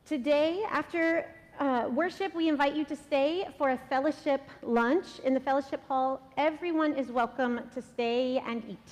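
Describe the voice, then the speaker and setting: A middle-aged woman pastor giving the welcome and announcements at a church service, speaking warmly and laughing between sentences. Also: sits high, moderate pace, hardly any pauses. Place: large church sanctuary. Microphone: stand microphone at a lectern. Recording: long church reverb.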